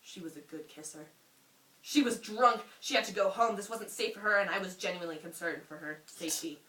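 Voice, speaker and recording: A young woman reading a story aloud to a friend in an amused, expressive way, often breaking off for asides. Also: medium pitch, fairly quick. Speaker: reader. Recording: roomy, distant mic with faint noise.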